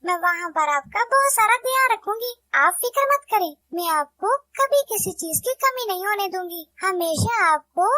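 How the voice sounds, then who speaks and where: A put-upon young bird maid answers meekly in a very high, bright voice, humble and pleading. A woman voices her. The cartoon recording is close-miked and dry. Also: animated, normal speed, hardly any pauses, no room sound, heavily acted. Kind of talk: cartoon voice acting.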